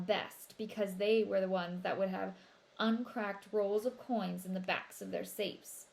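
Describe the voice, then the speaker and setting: A woman narrating a children's novel as an expressive read-aloud. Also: mid-range voice.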